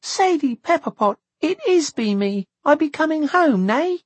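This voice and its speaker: A narrator voicing an excitable, proud young girl who speaks in childish, exclamatory dialect for a storybook. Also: high-pitched, unhurried, husky, dry recording, voice pushed up, heavily acted. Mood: joyful.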